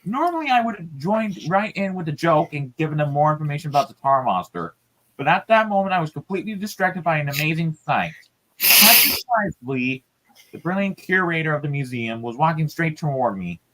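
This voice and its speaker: Story reader and host: animated, very varied pitch, informal dramatic delivery. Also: a little fast, slight background noise, rough recording. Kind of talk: storybook read-aloud with casual asides.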